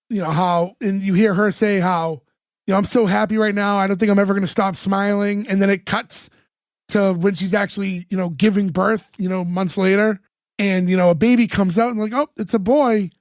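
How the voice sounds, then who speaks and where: Brief: podcast co-host, man, high voice, dry close sound, chatty and filler-heavy, voice getting worn. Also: dry recording. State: losing his voice. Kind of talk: casual chat, recapping a TV episode.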